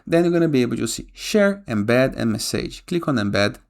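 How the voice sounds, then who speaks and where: Male tutorial host, casual step-by-step screen voice-over, noticeable vocal fry, mid-range pitch. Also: big pitch swings, moderate pace. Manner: frequently tags instructions with a questioning "okay?".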